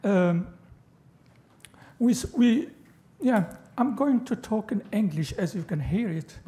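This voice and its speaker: A middle-aged man whose first language is Arabic, giving an academic lecture at a panel table in a large lecture hall, halting with fillers and restarts and adding joking asides. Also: sits high, animated, mellow. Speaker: guest lecturer. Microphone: table microphone.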